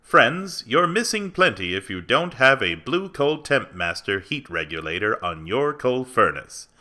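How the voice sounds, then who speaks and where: An actor playing an earnest 1940s radio announcer, reading a commercial with emphatic salesmanship. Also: male voice, mid-range voice, sing-song, unhurried, sharp tone, exaggerated voice. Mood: earnest, persuasive. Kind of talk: 1940s radio advertisement read.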